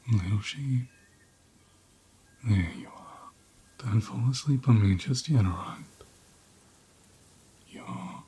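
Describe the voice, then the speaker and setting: A man voicing a gentle, protective head ram in an ASMR roleplay, speaking softly and soothingly, often in a breathy whisper. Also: medium pitch. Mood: soothing, concerned. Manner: slow, soothing, reassuring.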